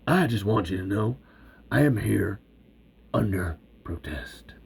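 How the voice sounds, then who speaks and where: A man's voice: lowish voice, expressive, slow, pitched below the performer's own voice, full character voice. A man playing a grumpy stubborn sidekick. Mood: grumbling. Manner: gruff, sarcastic.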